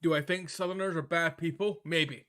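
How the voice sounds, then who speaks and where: A man's voice: fairly high pitch, steady tone, moderate pace, bright, forceful tone. A man streaming a game with casual, crude, joking commentary. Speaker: streamer playing and voicing a visual novel.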